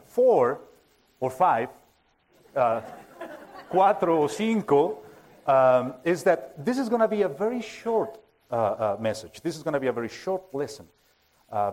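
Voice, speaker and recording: A man with a Spanish accent, Spanish as his first language, preaching an informal sermon, halting and joking at his own expense with many fillers and restarts. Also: medium pitch, a little mellow. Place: church hall with a full audience.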